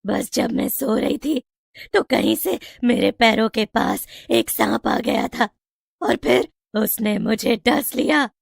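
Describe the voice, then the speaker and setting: A woman's voice: on the high side, slow pace, creaky, breathy edge, no room sound, clean studio sound, voice pushed up, heavily acted. A woman voicing a devoted yet vengeful young snake-witch daughter in a moral-story cartoon, with emphatic, dramatic delivery. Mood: urgent, soothing.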